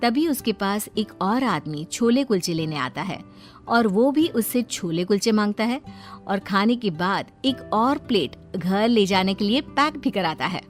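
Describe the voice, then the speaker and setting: A woman's voice: mid-range voice, expressive, unhurried, soft background music. A woman reading a scripted moral bedtime story as the narrator.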